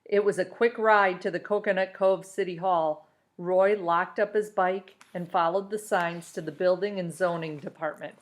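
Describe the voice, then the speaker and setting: A woman reading a children's novel aloud in an expressive storytelling style. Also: fairly low pitch, normal speed.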